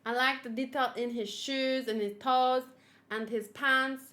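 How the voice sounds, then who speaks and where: A woman's voice: high voice, steady tone, measured pace, pushed and bright. A woman streamer chatting casually and giggling as she unboxes and assembles a figure, answering her chat.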